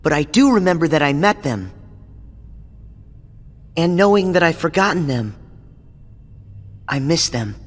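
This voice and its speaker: Earnest high-voiced young hero in reverb. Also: male voice, big pitch swings, unhurried, pauses often. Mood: wistful. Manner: earnest, heartfelt. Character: earnest, resolute teenage boy hero. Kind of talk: video game cutscene acting. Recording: long hall-like reverb.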